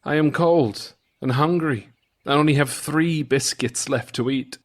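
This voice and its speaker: A man's voice: fairly high pitch, unhurried, close to an everyday voice. A man voicing a weary, kind-hearted old soldier in a folktale, musing plaintively on his lot. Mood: weary lament.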